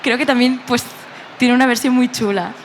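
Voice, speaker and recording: A woman's voice: a little high, steady tone, moderate pace, a little hiss or hum. A woman who is a manga artist guest, talking enthusiastically and laughing easily in a casual interview. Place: busy convention hall.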